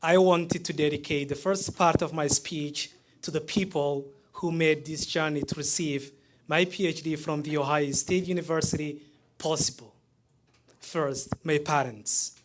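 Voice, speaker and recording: A man in his thirties from Somalia, speaking with a Somali accent as he gives a prepared, heartfelt graduation speech, pausing between phrases. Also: fairly high pitch, fairly even intonation, noisy background. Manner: measured, heartfelt delivery with pauses between phrases.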